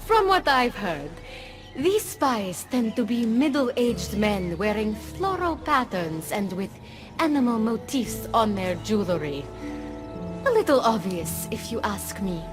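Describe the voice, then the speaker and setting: A woman's voice: fairly high pitch, sing-song, takes it slowly. An actress playing a sly, condescending woman who plots as a rebel ally in a video game, speaking with dry control. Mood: dry, scheming. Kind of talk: video game cutscene acting. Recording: music behind the voice.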